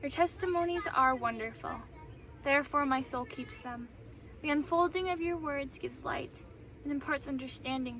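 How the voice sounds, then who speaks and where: A woman's voice: sits high, sharp tone. A woman reading scripture aloud, recorded a little away from the mic with some noise. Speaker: Bible reader. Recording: slightly distant mic with faint noise.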